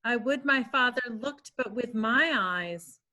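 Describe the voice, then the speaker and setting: A woman's voice: a little high, slow pace, pushed and bright. A woman playing a bold, earnest young maiden in a formal Shakespearean table read. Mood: pleading. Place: remote video call reading. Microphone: video call.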